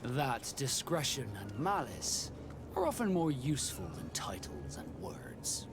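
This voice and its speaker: Wry sea captain, animated delivery. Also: male voice, medium pitch, measured pace, gravelly, exaggerated voice. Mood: sly, discreet. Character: gruff proud male sea captain, wry and guarded. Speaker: ship captain. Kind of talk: video game cutscene acting. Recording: music playing behind the voice.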